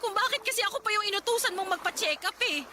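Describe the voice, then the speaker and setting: A woman's voice: very high-pitched, expressive, fast, background noise. A woman playing a distraught, snappish young wife and mother in a Tagalog radio drama, blunt and exasperated. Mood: defensive, upset. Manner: exasperated, blunt, sharp-tongued. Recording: music behind the voice.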